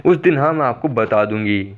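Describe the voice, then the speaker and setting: A man's voice: mid-range voice, expressive, normal speed, a little hiss or hum, lightly acted. A man voicing a proud young woman in a cartoon recap, scornful and formal in his own voice.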